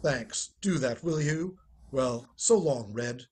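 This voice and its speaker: A man acting a breezy, teasing, urbane ex-husband in a 1940s radio play, with a husky voice and wry nonchalance. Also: medium pitch, lively intonation, slow pace, a little mellow, slight background noise.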